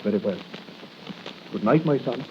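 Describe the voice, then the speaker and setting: An actor playing a gentle, solemn prison priest with a measured manner, in 1940s radio drama on a hissy recording. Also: male voice, medium pitch, lively intonation, lo-fi sound, natural voice. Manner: soothing, measured.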